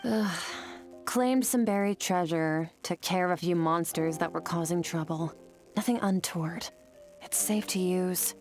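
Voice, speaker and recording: A woman playing an aloof, stoic young warrior in a game, speaking in a cool, measured, matter-of-fact way. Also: fairly low pitch, a little mellow, soft background music, natural voice. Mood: curt. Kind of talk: game character acting.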